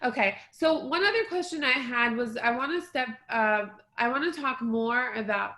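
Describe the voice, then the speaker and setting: A woman's voice: a little high, normal speed, bright, forceful tone. A woman from Israel asking questions on a casual call, hesitant and full of restarts. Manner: hesitant, lots of fillers and restarts. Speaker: interviewer. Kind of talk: casual video call chat. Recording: echoey room on a call.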